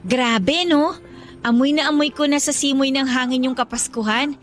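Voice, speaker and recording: A woman's voice: high-pitched, normal speed, soft background music, close to an everyday voice. A woman playing a friendly, teasing young working mother in conversational radio drama dialogue. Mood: chatty.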